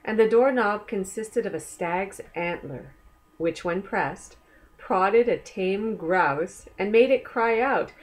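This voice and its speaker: A woman reading a children's book aloud as a storyteller, gently inviting young listeners along with questions. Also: medium pitch, takes it slowly, rough recording.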